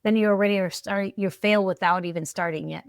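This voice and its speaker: A middle-aged woman talks in a casual, reflective interview style. She repeats words and restarts her phrases, often adding small check-in tags. Her voice sits at a medium pitch and is recorded close and dry. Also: fairly even intonation, clear, resonant tone, dry recording. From Peru, moved there at ten. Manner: halting, repeats words, often checks in with "right?" and "you know". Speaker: podcast guest, alcohol-free coach.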